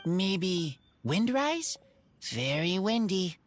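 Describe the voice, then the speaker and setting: An actor voicing a simple-spoken wild boy with halting, clipped phrases in a video game. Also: medium pitch, sing-song, slight background noise. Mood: thoughtful. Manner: halting, clipped broken sentences.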